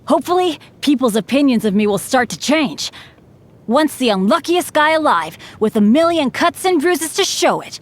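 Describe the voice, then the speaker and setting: A woman's voice: high-pitched, very animated, normal speed. A woman voicing an upbeat, earnest, unlucky teenage boy adventurer for a video game, eager, chatty and self-deprecating. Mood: proud, excited. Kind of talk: video game character voice lines.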